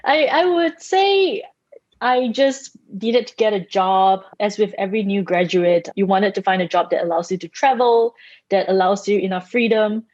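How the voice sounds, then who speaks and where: A woman's voice: mid-range voice, darkish tone, no echo. Woman founder, dry muffled sound. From Singapore. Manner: measured, with small restarts. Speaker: company founder, guest. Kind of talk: podcast interview.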